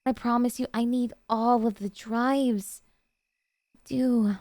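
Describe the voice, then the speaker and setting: A woman playing a doting, jealous yandere girlfriend in an ASMR roleplay, coaxing and sweetly earnest with hesitant pauses. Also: fairly high pitch, fairly even intonation, darkish tone, dry recording, studio quality. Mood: defensive, insistent.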